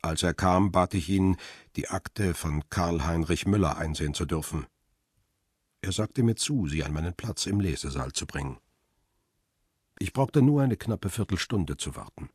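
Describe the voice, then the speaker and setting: A betrayed photographer telling his story in a radio crime drama. His voice is very deep and husky, close and dry. He is brooding and bitter, reflecting in measured sentences. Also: lively intonation, darkish tone, dry recording. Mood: brooding, shaken. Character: bitter, betrayed male paparazzo narrating his own story. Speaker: first-person narrator.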